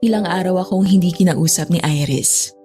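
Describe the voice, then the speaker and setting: A woman's voice: on the low side, moderate pace, dark tone. A woman narrating a scripted first-person story in a reflective, confessional way. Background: music.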